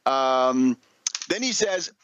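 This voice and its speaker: A man giving unscripted political commentary as a radio host, combative and sarcastic, halting and correcting himself, often firing off rhetorical questions. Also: medium pitch, expressive, a bit bright, clear, resonant tone, no room sound.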